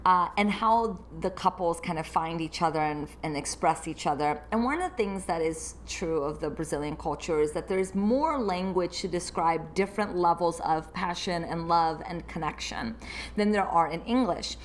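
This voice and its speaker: A woman's voice: lowish voice, moderate pace, slight background noise. A woman therapist who grew up in the United States, hosting her own solo podcast and reflecting aloud in an unscripted way.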